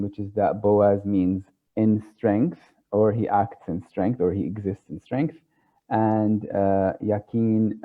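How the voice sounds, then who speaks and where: A man whose first language is Arabic, explaining ideas in an informal lecture, pausing often with fillers and checking in with "okay?". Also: fairly low pitch. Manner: thoughtful, hesitant, many fillers, checks in with "okay?". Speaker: tarot teacher. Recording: tinny phone-like sound.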